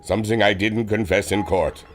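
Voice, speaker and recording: Man as a low-voiced, remorseful German adventurer. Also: steady tone, moderate pace, faint music behind, lightly acted. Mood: solemn confessing. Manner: solemn, melodramatic confessing. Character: remorseful, earnest German airship inventor with a troubled past. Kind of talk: old-time radio adventure serial acting.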